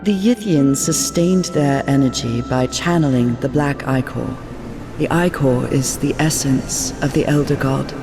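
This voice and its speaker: An actress playing a loving, serene woman from beyond time in a story game, speaking intimately and with gentle, measured reassurance. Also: rumbling low. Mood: gently explaining. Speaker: character and narrator. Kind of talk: cinematic game voice acting. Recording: long reverb, music underneath.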